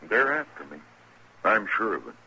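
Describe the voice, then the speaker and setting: Husky, gruff frontier ex-lawman. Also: on the low side, sing-song, normal speed, bright, forceful tone, exaggerated voice, poor audio. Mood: uneasy. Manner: gruff, plain cowboy talk. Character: weary, uneasy ex-lawman, old friend of the marshal. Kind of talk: 1950s radio western acting.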